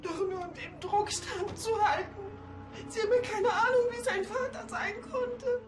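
A woman's voice: very high-pitched, unhurried, roomy sound. An actress playing a doting, fragile middle-aged mother in a TV crime drama. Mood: tearful, bitter.

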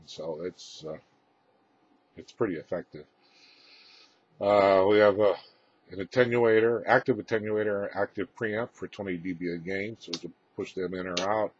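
A man giving a casual, unscripted equipment demonstration as presenter, explaining in a halting, matter-of-fact way, recorded indoors on a camera microphone. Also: low-pitched. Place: indoors, small room with radio gear. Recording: dull lo-fi camera audio, clear background noise.

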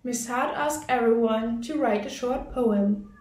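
A woman reading a children's storybook aloud as narrator, in a deliberate, careful and expressive way. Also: fairly high pitch, reverberant, rough recording. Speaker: storybook reader.

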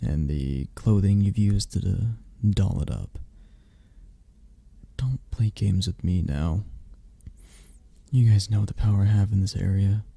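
Man playing a gravelly, low-voiced possessive mafia boss. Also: measured pace, long pauses, dark tone, no room sound, heavily acted. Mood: impatient, bargaining. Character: possessive, condescending male mafia boss, flirtatious and domineering. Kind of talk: intimate ASMR roleplay acting.

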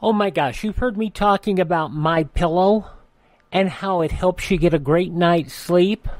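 A woman's voice: lowish voice, unhurried, darkish tone, no echo. A woman radio host reading scripted introductions and sponsor spots in a studio. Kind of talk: scripted radio hosting and ad read.

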